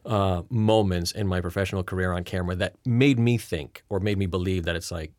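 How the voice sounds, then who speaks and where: Middle-aged actor guest from Rhode Island, low voice, reflective and sincere. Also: male voice, steady tone, darkish tone, dry recording, studio quality. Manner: earnest and reflective, drawn-out words. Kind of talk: relaxed interview talk.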